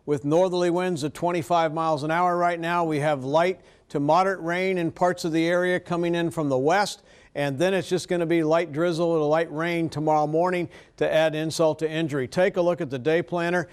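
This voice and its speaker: A man's voice: on the high side, darkish tone, clear, resonant tone. An American man presenting a live TV weather forecast.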